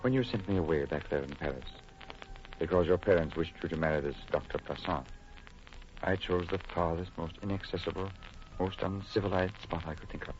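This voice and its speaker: A man's voice: sits low, a little fast, close to an everyday voice. A man acting an uneasy, secretive French expatriate in old radio horror, speaking formally and dramatically, faltering when pressed. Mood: wounded, earnest. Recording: muffled old radio broadcast, faint hiss.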